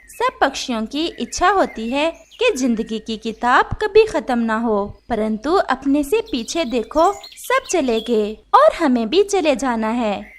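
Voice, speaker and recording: A woman narrating a moral animal story for children. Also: high voice.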